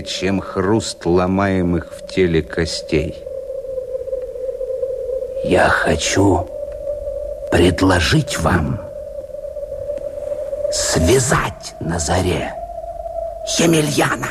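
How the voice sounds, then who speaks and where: An actor playing a scheming, scornful rebel conspirator, declaiming verse emphatically and deliberately in a radio play. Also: male voice, low-pitched, full character voice. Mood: urgent persuasion. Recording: noisy radio play soundtrack.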